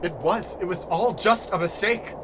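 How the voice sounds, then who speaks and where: A man playing a lonely, guilt-ridden, desperate figure in an audio drama, halting and repeating himself as he pleads. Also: sits high, lightly acted. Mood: defensive. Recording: clear background noise and ambience.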